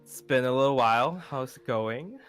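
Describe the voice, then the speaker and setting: A man's voice: mid-range voice, moderate pace, a bit bright, clear, resonant tone, lightly acted. A man playing an easygoing young confidant in an improvised game roleplay, talking in a casual, rambling, friendly way. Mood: friendly, upbeat. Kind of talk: improvised Minecraft roleplay acting. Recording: music behind the voice.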